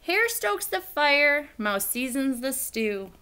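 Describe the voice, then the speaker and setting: A woman reading a children's picture book aloud in an expressive, theatrical, emphatic storytelling style. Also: high-pitched, slow pace.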